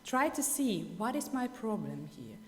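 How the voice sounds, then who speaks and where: A woman's voice: a little high, sing-song, unhurried. A woman from Finland with a Finnish accent giving an earnest, emphatic keynote speech, often restarting her sentences. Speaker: keynote speaker. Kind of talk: keynote speech at an award ceremony. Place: ceremony hall. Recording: long hall reverb.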